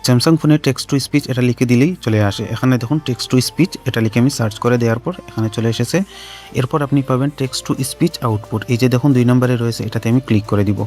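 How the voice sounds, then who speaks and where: A man hosts a step-by-step tech tutorial at a quick pace. He sits at an average male pitch and repeats instructions directly to his viewers, with music playing behind him. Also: darkish tone. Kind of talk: scripted-sounding how-to narration addressed to viewers. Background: background music.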